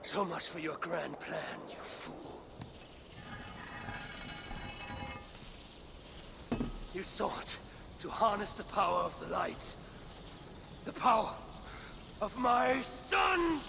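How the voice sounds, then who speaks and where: A man's voice: sits high, vocal fry, very noisy, exaggerated voice. An actor playing a proud, commanding Norse god-king in an epic video game, solemn and imperious in delivery. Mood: bitter, wrathful. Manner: solemn, imperious, deliberate diction.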